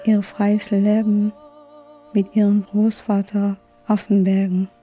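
Mellow woman retelling a story, even pitch. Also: slow pace, faint music behind. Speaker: participant retelling a film story for a radio play.

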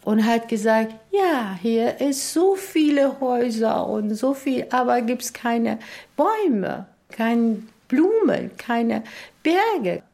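A non-native woman with a fairly high voice retells a story. Her pace is very slow and her phrasing simple and unscripted. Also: lively intonation, darkish tone. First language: not German.

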